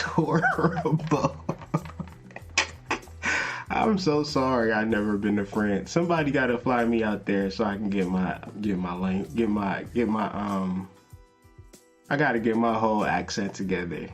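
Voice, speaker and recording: Low-voiced man reading with slangy asides. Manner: reads passages aloud, then breaks off into slangy asides to listeners. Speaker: podcast host. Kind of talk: casual lecture reading from a text.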